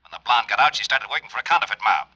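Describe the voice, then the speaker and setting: A fast, gruff police lieutenant. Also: male voice, mid-range voice, lively intonation, pushed and bright, heavily acted. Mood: brusque. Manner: brusque, scolding. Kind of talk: 1950s radio drama acting. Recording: muffled vintage radio broadcast.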